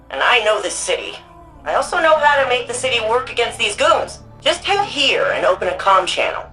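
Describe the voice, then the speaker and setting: A woman archivist turned resistance hacker for a sci-fi game. She talks casually and wryly, with an anxious edge and a lively, swinging pitch. Light music plays behind her. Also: poor audio. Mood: brisk, confident. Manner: casual, conversational, wry. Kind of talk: video game mission dialogue.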